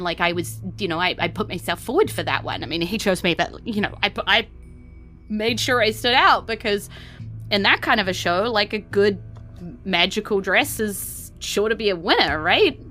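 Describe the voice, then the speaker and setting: A woman's voice: mid-range voice, normal speed, pushed and bright, soft background music. A woman with a New Zealand accent, casually narrating a tabletop game as game master.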